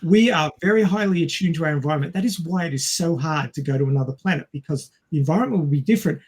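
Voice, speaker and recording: A man's voice: fairly high pitch, quick pace, slight background noise. A man co-hosting a podcast, explaining science informally in a didactic manner. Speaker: podcast co-host.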